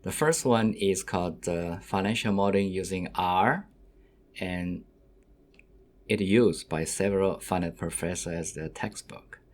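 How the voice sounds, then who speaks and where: Casting: middle-aged male university lecturer, non-native English speaker, deep voice, unhurried and halting delivery to students. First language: not English.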